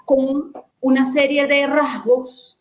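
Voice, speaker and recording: A woman's voice: sits high, level tone, slow, echoey room, muffled recording, a little hiss or hum. A woman speaking as a guest lexicographer, explaining her ideas thoughtfully, with frequent hesitations and restarts. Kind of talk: explanatory conversation.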